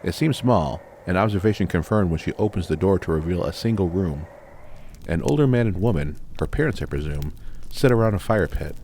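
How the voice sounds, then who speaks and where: A man with a low, deep voice narrates a fantasy tale in the first person. His delivery is measured and dramatic, built on deliberate phrases. A little noise and ambience runs under the recording. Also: animated, darkish tone. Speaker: audiobook narrator.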